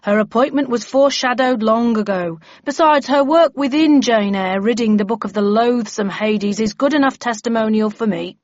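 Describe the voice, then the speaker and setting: Lady doing a stern officious chairman guy in an audiobook, brisk and declaiming, dry close sound, bit dull. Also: on the high side, dry recording, voice pushed up, close to an everyday voice. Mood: firm. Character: stern, brisk male bellman chairing a meeting.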